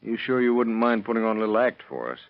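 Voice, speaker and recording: A man's voice: lowish voice, big pitch swings, fast, gravelly. An actor playing a shrewd male police detective with a dry, needling manner, in 1930s radio drama style. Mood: probing, polite. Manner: dry, needling, leading questions. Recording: dull lo-fi old radio recording.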